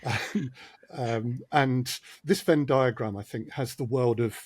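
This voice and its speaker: Man, mid-pitched husky voice, halting casual talk with dry wit. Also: a little mellow, no echo. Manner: hesitant, restarts often, dry humour. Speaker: audio drama producer, guest. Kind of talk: casual podcast chat.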